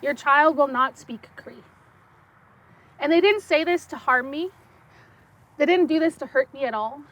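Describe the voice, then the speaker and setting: A young woman speaking English with a slight French accent, giving an excitable conference talk with quick bursts and many fillers. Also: high-pitched. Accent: French-tinged Canadian English. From Saskatchewan, Canada. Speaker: guest speaker.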